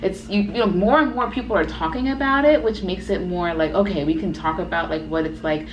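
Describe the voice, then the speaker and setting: A woman's voice: on the low side, fairly quick. A woman in her thirties co-hosting a casual chat, talking in an earnest, reflective way and thinking aloud. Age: 30s. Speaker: co-host. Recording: echoey room with steady background noise.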